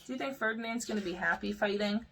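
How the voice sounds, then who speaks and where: A woman's voice: mid-range voice, fast, sharp tone, a little hiss or hum. A woman reading a children's story aloud like a preschool teacher, expressively, with questions aimed at young listeners.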